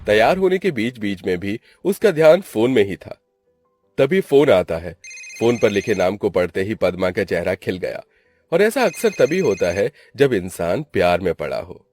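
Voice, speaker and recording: A man's voice: fairly low pitch, very animated, moderate pace, a little mellow, soft background music. A man narrating a scripted story in a dramatic style.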